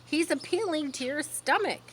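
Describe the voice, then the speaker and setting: Woman putting on a bossy, high-pitched, frantic goose. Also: expressive, moderate pace, fairly bright tone, slight background noise. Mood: warning. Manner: urgent, exclamatory, repeats phrases. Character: bossy, frantic farmyard goose urging a piglet on. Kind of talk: animated storybook character reading.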